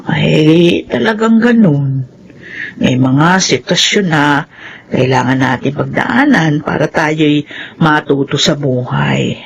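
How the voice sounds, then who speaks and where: A woman's voice: low voice, slow, gravelly, full character voice. Kindly older woman, consoling, homely, radio drama, noisy background. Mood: gentle, earnest. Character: kindly older woman, a caring prison visitor. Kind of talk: Filipino radio drama acting.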